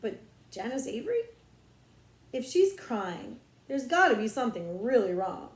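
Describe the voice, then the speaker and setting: A woman's voice: fairly high pitch, sing-song, unhurried. A woman voicing an imaginative, bold young girl who plays at being a lofty queen in a children's storybook. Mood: incredulous, defiant. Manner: lofty mock-archaic speech. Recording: roomy echo.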